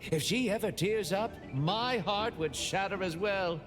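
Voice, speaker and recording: An actor playing a flamboyant, doting rich gentleman in a video game, speaking in a theatrical, grandly sentimental way. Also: high voice, measured pace. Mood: flustered, sentimental. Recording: long hall reverb, music behind.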